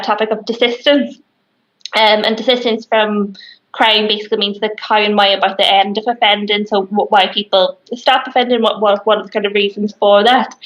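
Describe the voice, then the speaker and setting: A woman in her thirties with a Northern Irish accent, a criminology lecturer answering interview questions on a video call from a small office, pausing often with fillers and restarts. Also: mid-range voice, fairly bright tone, poor audio. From Northern Ireland. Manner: hesitant, many fillers and restarts. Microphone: webcam on video call.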